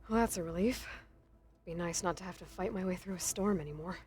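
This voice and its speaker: A woman's voice: lowish voice, fairly quick, a little mellow, a little hiss or hum, natural voice. A woman voicing a determined young huntress. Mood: thoughtful. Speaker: game protagonist.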